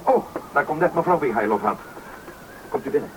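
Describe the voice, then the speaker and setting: A man's voice: a little high, lively intonation, fairly quick, natural voice. A man playing a worried village father searching for his missing son in a Dutch radio drama. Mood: concerned. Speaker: actor in a radio play. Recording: noisy old radio play recording.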